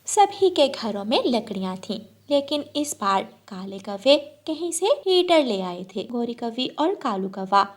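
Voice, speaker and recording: A woman narrating a children's cartoon story in a gentle, even storytelling manner. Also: on the high side, a little slow, hardly any pauses.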